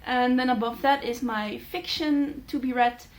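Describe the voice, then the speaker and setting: Chatty Dutch woman with a higher voice. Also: fairly even intonation, a little slow. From Netherlands. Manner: chatty, many fillers, thoughtful. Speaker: book channel host. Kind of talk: casual talking-head vlog.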